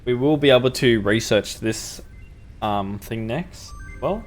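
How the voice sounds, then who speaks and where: A man's voice: medium pitch, measured pace, faint music behind. A young man with a sore throat, casually talking through a factory-building game as the channel's host, thinking aloud with ums. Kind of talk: gameplay commentary.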